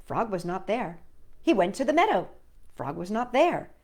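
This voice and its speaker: A woman's voice: mid-range voice, big pitch swings, moderate pace, a little mellow. A woman hosting a storytime, reading children's stories and poems expressively and speaking directly to young listeners. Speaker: storytime host and reader. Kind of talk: children's story read-aloud.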